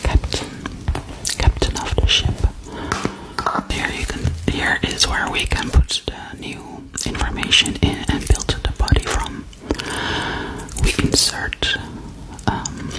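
A woman voicing a gentle, soft-spoken female alien girl in an ASMR roleplay, whispering slowly and reassuringly right into a close microphone in a small room. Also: lightly acted, rough recording. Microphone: studio microphone held very close.

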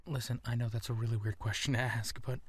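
A man playing an earnest, insecure young werewolf in an intimate ASMR roleplay, speaking softly and haltingly. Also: medium pitch, level tone, close to an everyday voice. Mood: shy, curious. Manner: hesitant, halting, soft-spoken with breathy whispers.

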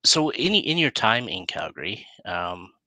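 A man's voice: fairly low pitch, very animated, a little slow, pushed and bright, no echo, low-quality recording. A middle-aged man with a Canadian accent, hosting a casual interview over a headset. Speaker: interview host. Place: small room indoors, video call.

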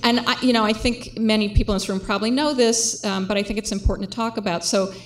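A woman moderating a public conversation with a handheld mic, measured, with frequent fillers. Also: medium pitch, steady tone. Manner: measured, reads quotes aloud, many fillers. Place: lecture hall with audience.

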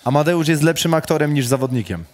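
A man hosting a rapid question round, reading statements aloud with a Polish accent and casually swearing. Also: fairly high pitch, animated, a little mellow.

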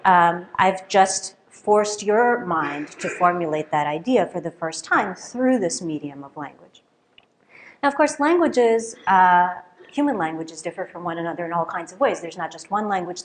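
A middle-aged woman, a native Russian speaker, giving a conference keynote at a lectern in a lecture hall, lively and often checking in with "right?". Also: fairly low pitch, a little hiss or hum. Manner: lively, questioning, with frequent "right?" and fillers. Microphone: lectern microphone.

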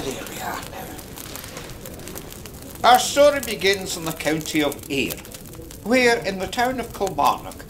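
A Scottish storyteller welcomes friends to his fireside in an audio drama. His folksy delivery is slow and richly varied in pitch, with clear background ambience behind it. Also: a little hiss or hum. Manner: leisurely, hospitable, folksy. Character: convivial Scottish fireside storyteller, fond of a dram. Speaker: narrator.

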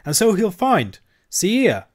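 A man's voice: high-pitched, wildly swooping pitch, measured pace, voice pushed up, full character voice. A man voicing a boastful, half-witted young cabin boy who brags with a weak swagger. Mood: defiant. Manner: swaggering, sly, bragging.

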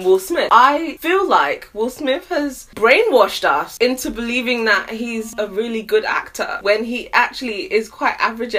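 A young woman reviews films at home, blunt and teasing in her opinions. Her voice sits a little high and rings bright and clear. She talks close to a camera in a small room. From Nigeria. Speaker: co-host of a movie review channel. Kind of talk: casual film review chat.

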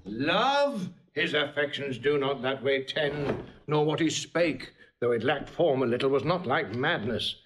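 A man's voice: medium pitch, lively intonation, unhurried, few pauses, fairly bright tone, a little hiss or hum. An actor playing a shrewd, suspicious king in a classical Shakespearean audio drama, formal and commanding. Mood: suspicious, resolved.

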